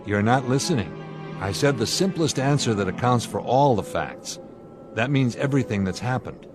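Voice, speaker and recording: A man acting in a radio drama as a level-headed airline captain, measured and explanatory. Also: medium pitch, lively intonation, over music, natural voice. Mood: firm, probing.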